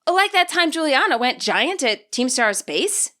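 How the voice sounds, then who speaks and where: A woman reading her own fan fiction aloud as an audiobook, with expressive storytelling. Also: sits high, unhurried. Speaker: fanfiction author reading her podfic. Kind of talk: audiobook narration.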